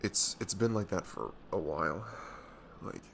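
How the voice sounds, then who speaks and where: A man playing a devoted, goofy young best friend in an ASMR audio roleplay, earnest and nervously lovestruck, with a soft velvety voice. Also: sits low, gravelly, slight background noise. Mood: tender confessing. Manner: hesitant, halting, earnest.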